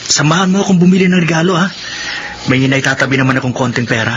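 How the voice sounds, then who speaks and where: Young Filipino grandson, played by a man, slightly high voice, earnest and courteous, radio drama, dull noisy audio. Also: animated, fast, lightly acted. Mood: casual, friendly. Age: young adult. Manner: respectful, earnest. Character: dutiful, polite young Filipino student grandson. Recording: muffled audio, clear background noise.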